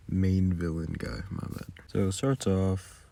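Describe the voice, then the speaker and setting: A man's voice: deep voice, level tone, slow, vocal fry, very noisy. A man who makes audio roleplays, giving casual, rambling behind-the-scenes commentary with muttered asides.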